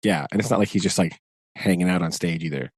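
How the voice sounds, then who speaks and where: A man's voice: low voice, fast, breathy edge, dry recording, clean studio sound. A man co-hosting a podcast in casual chat, loose and full of fillers, often laughing. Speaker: podcast co-host.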